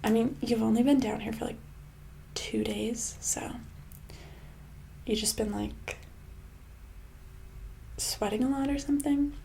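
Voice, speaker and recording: A woman playing a smug, sinister boss in a soft-spoken ASMR roleplay, casual and condescending, with a breathy voice that often slips into a whisper. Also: fairly high pitch, a little hiss or hum, rough recording. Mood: mock-sympathetic, menacing. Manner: casual, condescending, falsely sweet, hesitant pauses. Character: smug, sinister female boss sweetly holding an employee hostage.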